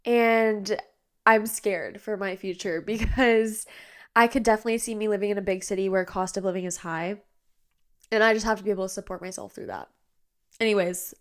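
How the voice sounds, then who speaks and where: A young woman in her twenties hosting a podcast from her bedroom, chatting casually, a bit nasal and congested, tired but bubbly, with lots of fillers. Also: mid-range voice. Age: 20s. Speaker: podcast host. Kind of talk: casual chat. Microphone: iPad on a tripod.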